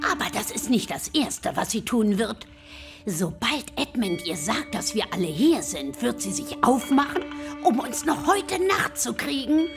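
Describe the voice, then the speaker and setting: A woman's voice: fairly high pitch, big pitch swings, measured pace. A woman playing a sensible, motherly beaver in a German radio drama, earnest and emphatic. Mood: sensible, concerned. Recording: music under the voice.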